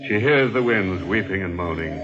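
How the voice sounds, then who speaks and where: A sinister, gloating horror host. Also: male voice, sits low, expressive. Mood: ominous. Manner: menacing, macabre, slow and deliberate. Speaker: host and narrator of a radio horror drama. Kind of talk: 1940s radio horror narration. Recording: dull old radio broadcast with music underneath.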